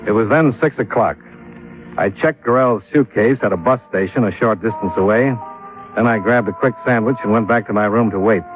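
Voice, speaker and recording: An American actor playing a dry, clipped, world-weary insurance investigator who narrates in old-time radio drama style. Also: male voice, lowish voice, moderate pace, close to an everyday voice. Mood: wry, guarded. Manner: dry, clipped, hard-boiled. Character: world-weary, wry freelance insurance investigator, adult man. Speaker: lead actor and narrator of a radio detective series. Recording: dull vintage radio recording, background music.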